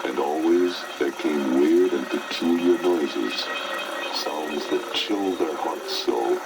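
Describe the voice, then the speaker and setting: A man narrating a children's story in a dramatic, expressive, theatrical style. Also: extremely low pitch, slow pace, gravelly, heavy background noise. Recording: old story record, orchestral music behind.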